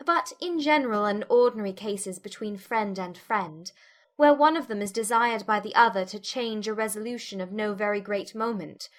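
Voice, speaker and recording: Woman playing a witty, high-voiced young gentlewoman. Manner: formal, articulate, pointed. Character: witty, self-possessed, challenging young gentlewoman. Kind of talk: dramatised classic novel audiobook.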